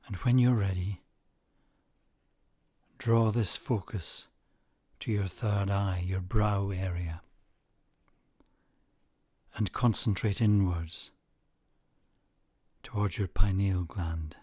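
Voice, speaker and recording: A man narrating a guided meditation in a measured way, leaving long pauses between short phrases. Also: deep voice, steady tone, dark tone, breathy edge, no echo.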